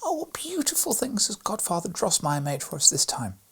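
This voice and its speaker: A man voicing an excited seven-year-old girl in a storybook reading, speaking eagerly and breathlessly. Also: high-pitched, fairly quick, breathy edge, pitched above the performer's own voice, heavily acted. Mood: delighted.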